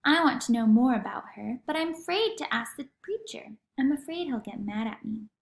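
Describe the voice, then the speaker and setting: A woman's voice: on the high side, expressive, normal speed, gravelly. A woman voicing an earnest, hopeful ten-year-old girl in a storybook reading. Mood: wistful. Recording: echoey room.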